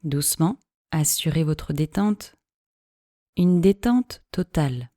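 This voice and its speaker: Slow, mellow woman guiding deep relaxation. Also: no echo, clean studio sound. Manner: calm, measured, soothing delivery with long pauses. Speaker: yoga nidra teacher. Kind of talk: slow guided meditation, instructional relaxation.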